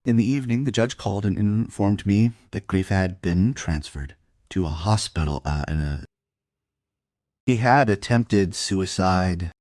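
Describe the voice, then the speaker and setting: A man's voice: deep voice, measured pace, darkish tone, dry recording. A man playing a dogged police investigator who narrates his case in a dry, matter-of-fact way. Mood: measured, matter-of-fact. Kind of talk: scripted crime audio drama narration. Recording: music under the voice. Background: music.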